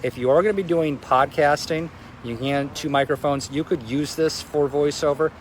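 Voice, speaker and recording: A man's voice: on the high side, normal speed, slight background noise. A man with a strong voice giving a casual, loosely phrased spoken review. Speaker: product reviewer.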